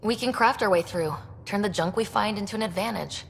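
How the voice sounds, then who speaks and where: A woman's voice: medium pitch, brisk, vocal fry, a little hiss or hum, close to an everyday voice. An actor playing an earnest, resolute young human woman who leads a space expedition in a video game. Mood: determined. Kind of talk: video game cutscene acting.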